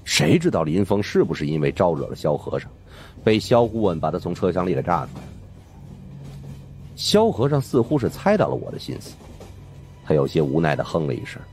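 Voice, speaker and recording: A man's voice: low-pitched, big pitch swings, brisk, a little mellow, faint music behind. A man narrating a supernatural suspense audiobook in a dramatic storytelling style.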